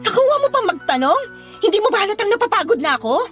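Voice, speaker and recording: Overworked young mother, radio drama; piercingly high voice, biting and emotional, light music behind. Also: sing-song, fast talker, heavily acted. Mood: sarcastic, angry. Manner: sharp, sarcastic, emotional. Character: overworked, fed-up young mother confronting her cheating partner. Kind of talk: Filipino radio drama acting.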